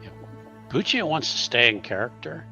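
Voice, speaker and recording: A man playing a tabletop game, calmly describing what his character does. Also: mid-range voice, moderate pace, gravelly, highs cut off, soft background music. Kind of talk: casual chat while playing.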